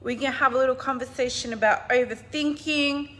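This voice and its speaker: Hoarse husky woman, business coach, bright forceful tone, emphatic and swinging from loud to quiet, casual livestream. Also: high-pitched, steady tone, brisk. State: hoarse, croaky voice.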